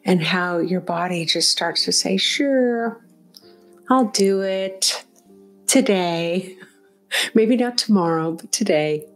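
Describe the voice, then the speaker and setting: Slow-talking woman guiding a meditation. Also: lowish voice, soft background music. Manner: gentle, encouraging, conversational. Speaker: meditation teacher. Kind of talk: guided meditation, unscripted.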